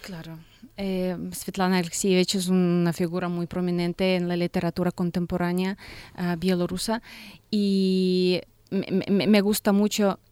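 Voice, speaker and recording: A woman from Belarus, answering interview questions in Spanish, her second foreign language, and often pausing to search for words. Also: fairly low pitch, level tone, darkish tone, clean tone, dry recording. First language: Russian and Belarusian. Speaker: guest, translator and painter.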